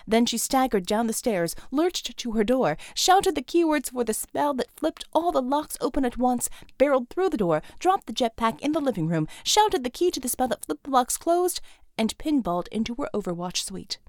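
A woman narrates an action audiobook in a dramatic, scripted style. Her voice is fairly high, and the recording is very dry and close. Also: sing-song, normal speed, a little mellow, no room sound.

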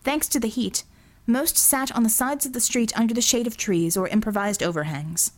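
A woman reading dramatic fiction as an audiobook narrator, deliberate and expressive in her storytelling. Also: fairly high pitch.

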